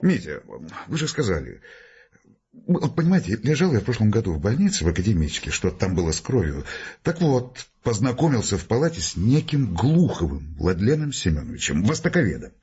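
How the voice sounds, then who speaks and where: Earnest hesitant polite guy in a radio play, low voice with lots of swing, rambles apologetically. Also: big pitch swings, warm tone, breathy edge, muffled recording, heavily acted. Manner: halting, digressive, apologetic. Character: earnest, hesitant, polite man telling an anecdote. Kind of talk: Russian audio drama acting.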